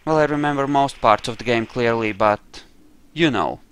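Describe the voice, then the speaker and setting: A man's voice: mid-range voice, normal speed, fairly bright tone, smooth. A man casually narrating his own video game playthrough, thinking aloud in an offhand, sweary way.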